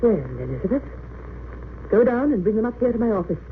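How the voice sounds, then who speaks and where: A tinny, noisy old radio recording of a quietly commanding nurse. She sounds dignified and firm, in measured vintage drama style. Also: on the high side, big pitch swings. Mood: firm, urgent. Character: composed, resolute English head nurse, quietly commanding. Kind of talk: 1930s radio drama acting.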